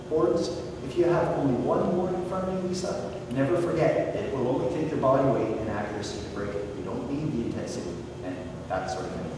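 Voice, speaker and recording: A martial arts instructor with a big, projecting voice gives a student methodical, encouraging feedback at a belt test. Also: male voice, on the high side, noisy background. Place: large echoing training hall. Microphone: distant camera mic. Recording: echoey hall recorded from far off.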